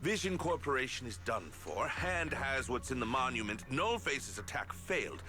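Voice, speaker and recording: Casting: male actor as scheming politician for a story game, cynical, part lofty orator, part irritable schemer, mid pitch, music bed. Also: very animated, normal speed, close to an everyday voice. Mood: irritated, scornful. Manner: declamatory in speeches, curt and irritable otherwise. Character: cynical male politician rehearsing a noble speech, scheming behind it. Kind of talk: video game voice acting. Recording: dramatic music under the voice.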